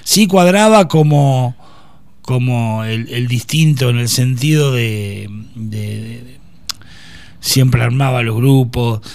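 Man in his fifties, halting Argentine speech. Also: mid-range voice, darkish tone, dry recording. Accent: Argentine Spanish. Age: 50s. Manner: hesitant, many restarts. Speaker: guest. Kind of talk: casual interview.

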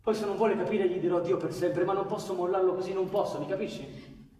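A man's voice: sits high, steady tone, fast talker, warm tone, recorded from a distance, a little hiss or hum, natural voice. A man playing an ardent, restless anarchist lover in a radio drama, speaking with persuasive passion. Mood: pleading. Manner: impassioned, persuasive. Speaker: actor in a radio play. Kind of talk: live radio drama acting. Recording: echoey long reverb with music behind. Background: live music.

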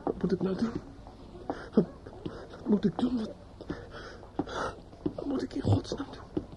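An actor playing a despairing young man in a radio drama monologue, halting, stammering and repeating himself in fear and grief. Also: high-pitched. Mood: lost, hesitant. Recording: dull, noisy old radio recording.

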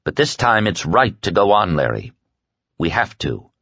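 A man voicing a calm, stern man in an audiobook, arguing quietly and coldly to hold friends to an agreement. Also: sits low, vocal fry, husky, dry recording, lo-fi sound, heavily acted. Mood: gentle, firm. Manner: quiet, cold, measured argument.

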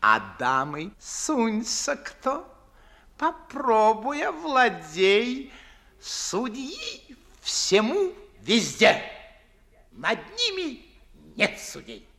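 Pompous, swooping, high-voiced old-fashioned gentleman. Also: wildly swooping pitch, extremely slow, vocal fry, heavily acted. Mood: pompous relish. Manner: theatrical, declamatory, fussy, swooping emphasis. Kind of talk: 1950s Russian classical stage comedy acting.

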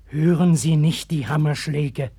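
A man playing a stern, compassionate prophet in a radio play, speaking in a solemn, measured, declamatory way. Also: a little high, dark tone, husky, exaggerated voice. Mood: fervent, prophetic. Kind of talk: German radio play acting. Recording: early 1960s vinyl radio-play record.

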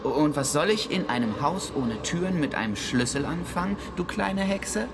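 Radio drama lead: a sceptical, irritable adult, very low-pitched but lively, often confused. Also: female voice, background noise. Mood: exasperated. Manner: sceptical, sweary, halting when confused. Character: irritable, baffled grown-up driver nicknamed Ken. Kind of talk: German radio drama acting.

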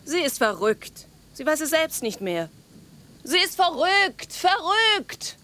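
A woman's voice: high voice, very animated, slow pace, sharp tone, heavily acted. An actress playing a suspicious, dismissive woman in a German radio drama, with a challenging, accusatory manner. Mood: scornful. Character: suspicious, dismissive woman roused at night, hostile to the caller.

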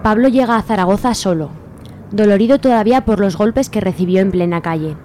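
A woman's voice: medium pitch, brisk, mellow, slight background noise. A woman giving scripted narration for a radio serial.

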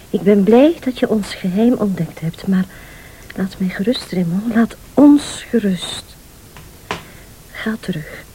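An actress playing a devoted, defiant wife in a radio play, earnest and emotional, often sinking to a breathy near-whisper. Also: female voice, medium pitch, mellow, slight background noise, close to an everyday voice, low-quality recording. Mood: bitter, defiant. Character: devoted, defiant wealthy wife caught in a plot.

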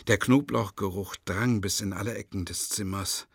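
A withdrawn, weary husband narrating a radio play. His voice is low and husky in a dry studio sound, with a polite, terse reserve. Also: normal speed, no echo. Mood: brooding. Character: reserved, weary married man, reluctant roommate and narrator. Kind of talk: literary first-person narration in German radio drama.

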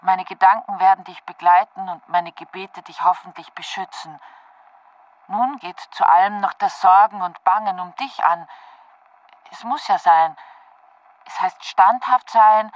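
A woman's voice: lowish voice, unhurried, poor audio. An actress playing an anxious, devoted aunt reading her letter to a soldier earnestly in a radio drama. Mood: anxious, steadfast.

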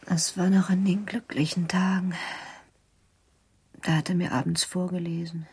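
A woman's voice: on the low side, level tone, moderate pace, a little mellow. Frail, whispery dying young woman. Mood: wistful, serene. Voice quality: breathy, weak, whispery. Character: gravely ill young woman, serene, resigned to dying. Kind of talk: German radio drama acting.

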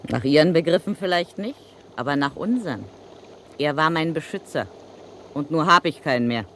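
An actress playing a cocky, slangy widow of a crook who blackmails a murderer, in a vintage radio play. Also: female voice, low-pitched, lively intonation, moderate pace, slight background noise. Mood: defiant.

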